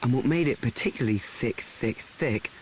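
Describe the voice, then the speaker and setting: A man's voice: mid-range voice, normal speed, a little hiss or hum, natural voice, low-quality recording. An actor playing an anxious, awkward schoolboy who narrates his woes in a rambling radio play monologue, correcting and repeating himself. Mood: rueful confiding. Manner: rambling, repetitive, self-correcting.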